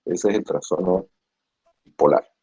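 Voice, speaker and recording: A man with a Peruvian Spanish accent lectures in a patient, explanatory way and checks in with tag questions. His voice sits low, mellow and a little husky. The recording is dull and lo-fi. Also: unhurried, slight background noise. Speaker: psychiatry lecturer. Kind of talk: university lecture. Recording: muffled lo-fi classroom recording.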